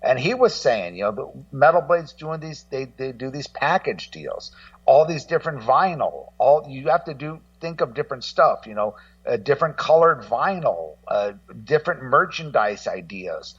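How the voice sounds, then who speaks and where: A man's voice: mid-range voice, expressive, normal speed. A middle-aged man talking casually as a guest on a music show, rambling and repeating himself, with some salty language. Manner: rambling, repetitive, salty-tongued. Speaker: guest co-host and music documentary maker. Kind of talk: casual music-business discussion. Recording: muffled, distant-sounding audio.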